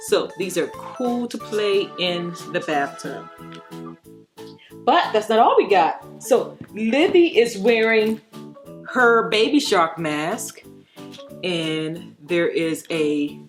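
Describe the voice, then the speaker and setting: A woman presents a casual toy review at an unhurried pace. She explains each thing simply, as if showing it to a child as well as to viewers. Clear music plays behind her voice. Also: mid-range voice, expressive. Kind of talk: casual unboxing and toy demonstration.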